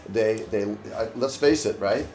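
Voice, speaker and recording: A man's voice: lowish voice, moderate pace, darkish tone, slight background noise. A man hosting a live-streamed interview, talking enthusiastically to his viewers. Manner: enthusiastic, salesy, addresses viewers as guys. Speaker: host. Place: indoor party venue.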